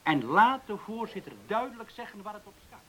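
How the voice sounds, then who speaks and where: A man's voice: high-pitched, animated, fairly quick, fairly bright tone, rough recording. A male actor playing an authoritative village mayor in a radio play, speaking formally and emphatically as he gives orders. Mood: stern, commanding. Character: commanding village mayor giving brisk orders. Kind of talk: radio drama acting.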